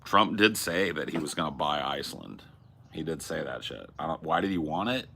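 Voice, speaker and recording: Streamer host, low and punchy, profane rambling chat. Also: male voice, animated, fairly quick, creaky, sharp tone, a little hiss or hum. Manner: rambling, profane, restarts and self-corrections. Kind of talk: casual livestream chat reading viewer messages.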